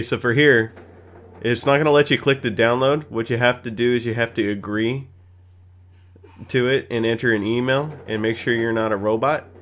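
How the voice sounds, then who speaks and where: A man's voice: medium pitch, fairly bright tone. A man in his thirties giving a casual, playful screen-recorded streaming tutorial in a goofy, chatty way. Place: small home room with gaming setup, indoors.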